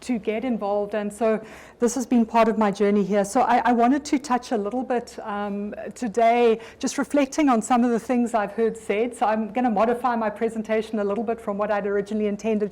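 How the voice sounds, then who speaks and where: A middle-aged South African woman speaking at a conference, her voice sitting a little high. She talks in an easy, conversational manner, stopping to restart her sentences now and then. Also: steady tone, a little fast, a little mellow. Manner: conversational, with frequent ums and restarts. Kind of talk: conference presentation. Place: conference room.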